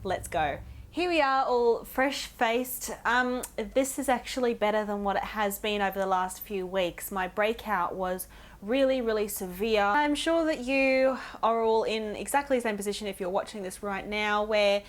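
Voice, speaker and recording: A woman, talking viewers through her makeup routine while croaky from a cold. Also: mid-range voice, moderate pace. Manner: chatty, ranting, addresses viewers directly. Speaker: beauty vlogger. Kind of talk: casual makeup tutorial talk.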